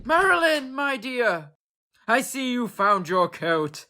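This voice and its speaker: A woman's voice: on the high side, big pitch swings, slow, pushed and bright, heavily acted. A woman playing a pompous, melodramatic married man in an amateur comedy murder mystery, with florid theatrical delivery. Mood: lovestruck, grandiose.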